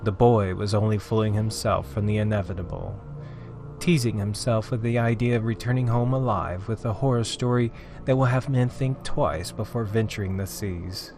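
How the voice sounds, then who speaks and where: A man's voice: fairly low pitch, normal speed. A man narrating a scripted horror story in a suspenseful, theatrical manner, drawing out key phrases for emphasis. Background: music under the voice.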